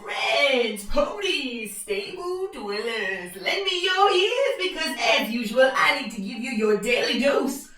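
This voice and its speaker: Actress as echoey, high-voiced awkward announcer pony. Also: female voice, very animated, a bit bright, exaggerated voice. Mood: theatrical. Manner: theatrical, flustered, halting. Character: awkward, chirpy female pony radio announcer. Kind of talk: announcer in audio drama. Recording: echoey, distant in-world announcement.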